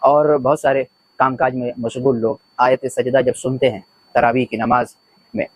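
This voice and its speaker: A man's voice: medium pitch, little pitch movement, moderate pace, slightly rough, no room sound, rough recording. A man giving religious commentary in an earnest, preachy way, building points through repeated lists. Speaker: religious commentator, video host. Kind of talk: spoken religious commentary to camera.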